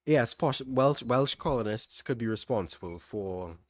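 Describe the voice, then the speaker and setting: A young man with a mild Trinidadian accent answering chat questions on a livestream, relaxed, thinking aloud with restarts. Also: medium pitch, unhurried, no echo. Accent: Trinidadian, fairly standard. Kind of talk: casual livestream Q&A.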